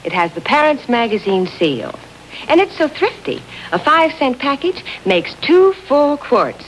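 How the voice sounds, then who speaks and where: A woman giving a 1950s advertising sales pitch as a spokeswoman. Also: big pitch swings, measured pace. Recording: noisy vintage advert audio.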